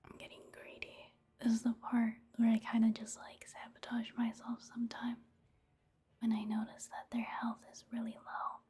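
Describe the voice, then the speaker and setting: A woman whispers her way through casual video game commentary in ASMR style. Her voice is breathy and fairly high, and she keeps a gentle, unhurried flow. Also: completely flat delivery.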